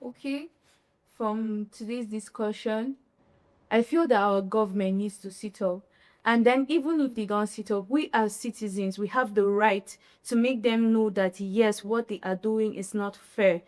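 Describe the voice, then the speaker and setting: A woman on a panel, giving her final thoughts in an earnest, measured way. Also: mid-range voice, darkish tone.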